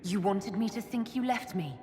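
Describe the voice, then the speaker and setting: A woman's voice: mid-range voice, animated, normal speed, mellow, in a large hall, slight background noise, natural voice. A woman playing an earnest, wounded elf warrior in a video game, plaintive and direct. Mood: hurt, accusing. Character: hurt, earnest female elf warrior confronting her lover.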